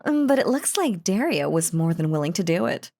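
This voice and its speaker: A woman acts out a possessive yandere wife in an intimate audio roleplay. Her voice sits a little low for a woman and crackles with fry. She dotes and gushes over her husband, sweetly menacing and cheerfully unhinged. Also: very animated, fairly quick, mellow. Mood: gushing, lovestruck. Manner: doting, gushing, cheerfully unhinged. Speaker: voice actor.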